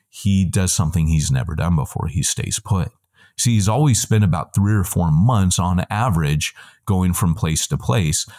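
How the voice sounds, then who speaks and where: A middle-aged man, a guest on a video call, giving a long, animated answer full of quick asides and self-corrections, heard through wired earbuds in a room at home. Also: low-pitched. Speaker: guest, church planting trainer.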